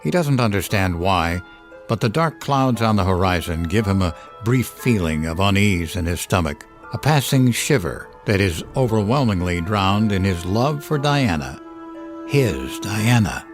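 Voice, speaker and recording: A man playing the brooding narrator of a dark audio drama, measured and theatrical. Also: lowish voice, animated, soft background music. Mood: ominous, wry.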